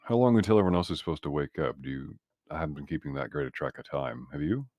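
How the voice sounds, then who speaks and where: A man's voice: rumbling low, sing-song, quick pace, vocal fry, no echo, highs cut off. A man playing a tabletop roleplaying game, talking casually in character with a wry, sardonic manner.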